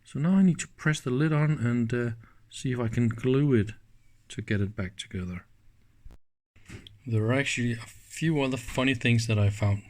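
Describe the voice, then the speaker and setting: A man hosting a hands-on electronics teardown, thinking aloud in halting, pieced-together sentences as he examines things. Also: mid-range voice, darkish tone. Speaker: host of an electronics teardown channel.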